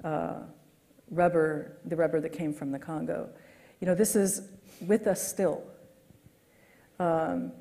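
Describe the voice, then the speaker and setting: A woman's voice: sits low, steady tone, slow, long pauses, dark tone. A woman moderating a panel, conversational with many fillers.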